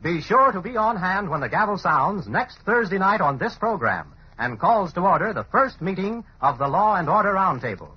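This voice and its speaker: A man's voice: high-pitched, very animated, normal speed, few pauses, breathy edge. A man announcing and narrating a radio serial in dramatic, scripted 1930s style. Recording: muffled, hissy old radio broadcast.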